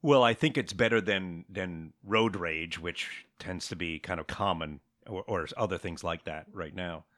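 A man hosting a podcast in casual conversation, playful and quick with sarcastic jokes. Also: low-pitched, fairly bright tone. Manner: joking, playful, sarcastic asides. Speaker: podcast host.

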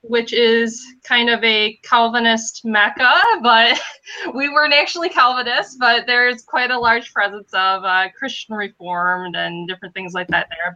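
Nasal upper Midwestern woman, bright voice. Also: fairly high pitch, lo-fi sound. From Western Michigan. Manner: chatty, self-deprecating, laughs between phrases. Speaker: podcast guest. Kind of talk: casual interview chat.

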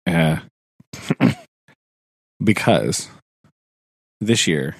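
A man co-hosting a podcast in casual chat, rambling with many fillers, laughing often and clearing his throat now and then. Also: sits low, lively intonation, drawn-out words, no room sound. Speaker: podcast co-host, teacher.

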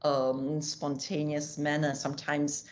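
Woman, low voice, academic guest, conversational, many fillers, self-correcting. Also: little pitch movement, slow. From Singapore. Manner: occasional light laughs. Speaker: linguistics scholar, podcast guest.

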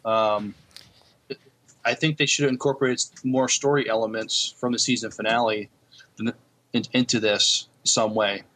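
A man co-hosting a podcast, giving casual television critique in a hesitant, measured manner. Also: fairly low pitch, steady tone, fairly bright tone, low-quality recording. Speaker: podcast co-host. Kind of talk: casual TV review chat.

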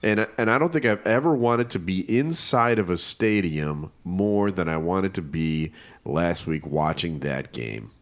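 Co-host, male, very low voice, easygoing and jokey sports chat. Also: unhurried. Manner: laid-back, joking, hesitant with fillers. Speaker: podcast co-host.

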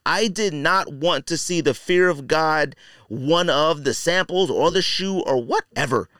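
A man's voice: a little high, measured pace, a bit bright, dry recording, clean studio sound. A man hosting a podcast, giving casual opinion commentary in colloquial, slangy language and repeating phrases for emphasis. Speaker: podcast host.

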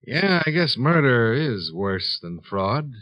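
An actor playing a shrewd, unhurried insurance investigator in a 1950s radio drama, with a dry, matter-of-fact delivery. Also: male voice, mid-range voice, sing-song. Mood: dry, unruffled. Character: cool, shrewd male insurance investigator, hard-boiled and unhurried. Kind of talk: 1950s radio detective acting. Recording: dull 1950s radio broadcast recording.

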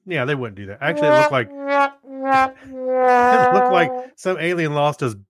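A man's voice: sits high, sing-song, normal speed, soft background music. A man co-hosting a podcast, reading news and bantering in a loose, jokey, amused manner. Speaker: podcast co-host.

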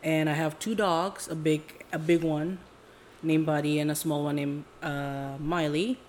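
A woman's voice: sits low, steady tone, unhurried, a little mellow, slight background noise. A woman in her late twenties from Indonesia, co-hosting a podcast in an informal, agreeable, slightly hedging conversational manner. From Jakarta, Indonesia. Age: late 20s. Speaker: podcast co-host. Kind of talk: casual chat.

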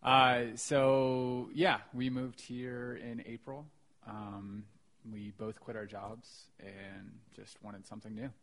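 An American man talks casually as an interview guest. His voice has a middling male pitch and a conversational, informal delivery. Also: flat delivery, slow, fairly bright tone. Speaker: podcast guest, writer and reporter. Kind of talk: casual chat, being interviewed.

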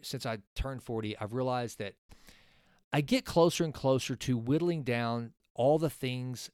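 A man in his forties hosts a solo podcast and talks in an earnest, rambling way, often circling back and restarting his sentences. His voice is smooth and clear. It sits on a close mic in a dry, dead room. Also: medium pitch, measured pace, a little mellow, dry recording. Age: 40s. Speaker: podcast host. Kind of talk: casual solo podcast talk with a sponsor read.

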